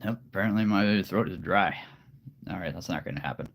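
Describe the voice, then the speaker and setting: A man with a very deep voice chatting casually on a live stream, relaxed and rambling. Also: brisk. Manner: relaxed, rambling, laughs often. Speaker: live streamer and hobby voice actor.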